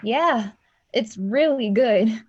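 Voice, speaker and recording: A woman's voice: on the high side, expressive, extremely slow, slightly rough, full character voice. An actress playing a friendly, shy woman in her twenties in an online play reading, casual and hesitant. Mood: enthusiastic, shy. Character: friendly, shy 23-year-old woman working as lobby attendant. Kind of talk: naturalistic acting in an online staged play reading. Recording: dull, lo-fi online recording.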